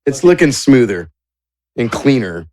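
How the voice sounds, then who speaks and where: A man's voice: mid-range voice, very animated, unhurried, darkish tone, a little hiss or hum. A man narrating a tabletop game as game master, improvising descriptions in an informal way.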